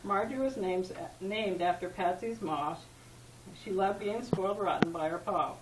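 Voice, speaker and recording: A woman's voice: medium pitch, measured pace. A woman reading a rhyming poem aloud at a party in an informal, amateur way, stumbling now and then. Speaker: family member reading a tribute poem. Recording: echoey room, distant mic, background noise.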